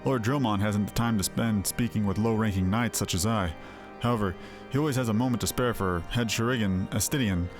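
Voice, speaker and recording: Man as a modest, low-voiced fantasy knight. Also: brisk, few pauses, lightly acted. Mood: reluctant. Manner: courteous, formal, archaic speech. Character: modest low-ranking male knight, reluctant but courteous. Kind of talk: video game character dialogue, read aloud. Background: music.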